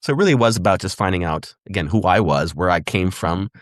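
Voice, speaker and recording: A man with a very deep voice talks quickly and thoughtfully as an interview guest. He is middle-aged, from Puerto Rico, and keeps adding "right?" as he goes. The sound is close and dry. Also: lively intonation, no echo. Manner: thoughtful, repeats "right?" and "again". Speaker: guest historian. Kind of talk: conversational interview answers.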